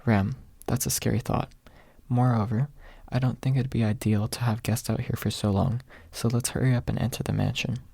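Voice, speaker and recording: A haughty young maid, read by a man in a quiet storybook style. The delivery is dry and dismissive, with a husky, creaky voice. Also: medium pitch, fairly even intonation, moderate pace, no room sound. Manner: dry, dismissive, refers to self in third person. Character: haughty, blunt young pink-haired maid, a woman. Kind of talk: ASMR audiobook character reading.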